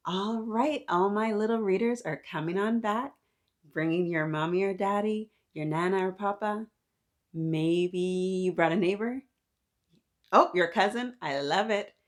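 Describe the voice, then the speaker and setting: A woman's voice: mid-range voice, a little slow. A woman hosting storytime, chatting interactively with young children in an encouraging, playful manner.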